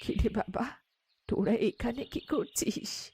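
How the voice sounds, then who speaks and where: A woman voicing a kindly old village beggar woman in a cartoon, speaking slowly in a motherly, coaxing way. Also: on the high side, darkish tone, breathy edge, exaggerated voice. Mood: curious. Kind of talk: cartoon moral story dialogue.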